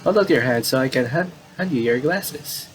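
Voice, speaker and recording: A man's voice: mid-range voice, expressive, normal speed, a little hiss or hum, pitched above the performer's own voice, close to an everyday voice. A man voicing a fussy, caring young sister from a visual novel, with exclamatory delivery. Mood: worried.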